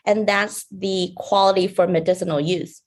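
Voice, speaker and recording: A young woman from Vietnam, a beauty brand founder, talking casually as a podcast guest, soft-spoken with light laughs and many fillers. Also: mid-range voice, steady tone, normal speed. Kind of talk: casual interview talk.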